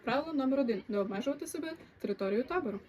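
A woman's voice: high-pitched, expressive, racing, highs cut off. A woman presenting a comic camp video, reading out numbered rules in a scripted announcing style. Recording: echoey, distant, loud crowd noise behind.

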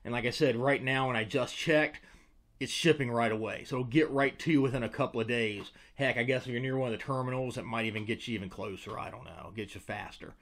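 Man, forties, American accent, mid-pitched voice, informal conversational delivery, casual review host, indoor desk mic. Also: quick pace, a bit bright. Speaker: host, fishing lure reviewer. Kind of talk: casual product review to camera. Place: small indoor room with tackle-covered walls. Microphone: desk microphone beside him.

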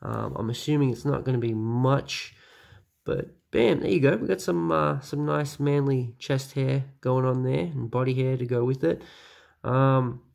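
A man's voice: mid-range voice, vocal fry. Nasal young man with a cold. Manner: excited, chatty, many fillers. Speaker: toy reviewer. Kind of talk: casual review vlog. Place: small room at home.

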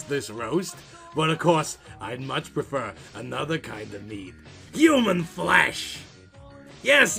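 Bright, cackling, gloating walking corpse. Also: male voice, on the high side, gravelly, exaggerated voice. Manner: theatrical, cackling, taunting. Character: gloating male walking corpse, mocking and possessive. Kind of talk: horror comic dramatization.

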